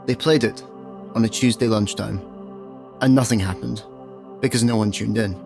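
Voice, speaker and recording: A man with a Scottish accent narrating a comic radio play in a deadpan way. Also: on the low side, normal speed, creaky. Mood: wry. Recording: music behind the voice.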